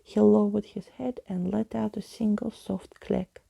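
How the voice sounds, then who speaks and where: A woman reading a storybook aloud in a soft ASMR style, with a breathy voice that often slips into whispering. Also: medium pitch, fairly even intonation, a little slow, lo-fi sound.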